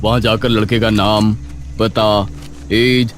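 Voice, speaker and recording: A man's voice: lowish voice, flat delivery, slow, faint music behind. A man voicing a lazy middle-aged police inspector who brushes off a missing-person report. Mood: dismissive. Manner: offhand, brusque, unconcerned.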